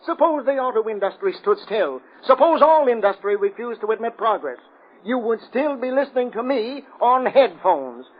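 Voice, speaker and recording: A man's voice: extremely high pitch, animated, normal speed. A man acting a smug, sneering crooked official who orates pompously in an old radio drama. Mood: oratorical. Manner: declamatory, pompous. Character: smug, sneering crooked city official and rabble-rousing orator. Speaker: actor. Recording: tinny, narrow-band old radio recording.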